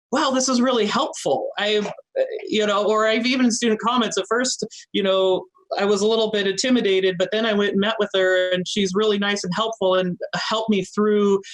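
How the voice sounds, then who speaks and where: A woman's voice: medium pitch, poor audio. A woman college instructor with a strong, assertive voice, chatting informally and laughing often. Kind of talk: informal talk to students.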